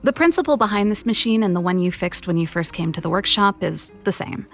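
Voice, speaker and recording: Game role: easygoing young rocker-mechanic big sister, lowish gravelly voice, music underneath. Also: female voice, lively intonation, a little fast. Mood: breezy, teasing. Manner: easygoing, teasing, reflective. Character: breezy young woman mechanic and rock guitarist, wistful older sister. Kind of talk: video game voice acting.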